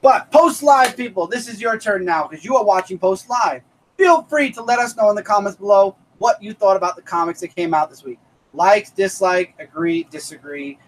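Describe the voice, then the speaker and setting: A man's voice: high-pitched, moderate pace, low-quality recording. A man in his thirties hosting a casual comic review live stream, upbeat and thanking viewers by name. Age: 30s.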